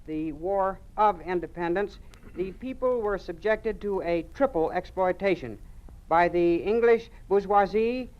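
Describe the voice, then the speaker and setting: A performer playing a nervous young male pupil reciting haltingly in an old-time radio drama. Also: medium pitch, close to an everyday voice. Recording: muffled vintage radio recording.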